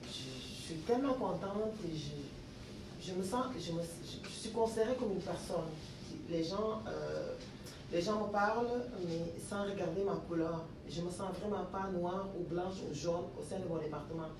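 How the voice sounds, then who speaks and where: Woman panel guest, low-pitched, stumbling starts; echoey, noisy, distant sound. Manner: halting, repeats herself when starting. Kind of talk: panel discussion. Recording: echoey room from far off, noisy.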